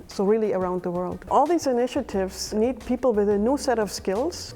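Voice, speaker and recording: A middle-aged woman, a physics professor and project coordinator, explaining in a measured way in a documentary interview. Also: medium pitch, dark tone.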